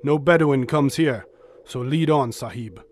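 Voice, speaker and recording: An actor playing a loyal, superstitious desert companion in an audio drama, solemn and ominous, with archaic phrasing. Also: male voice, mid-range voice, a little slow, lightly acted. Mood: wary.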